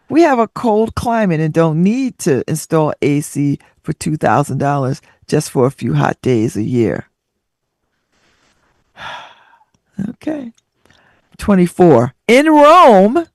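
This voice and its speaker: A woman's voice: medium pitch, no echo. A woman hosting a radio talk show, reading out listener stories and riffing on them with frequent laughter and sassy asides.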